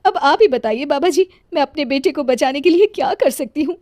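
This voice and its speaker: A woman playing an anguished, devoted village mother in an animated story, speaking with earnest, melodramatic pleading. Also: sits high, brisk, lightly acted. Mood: distressed, pleading. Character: anguished, devoted village mother determined to save her son. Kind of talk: animated Hindi moral story drama. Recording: music under the voice.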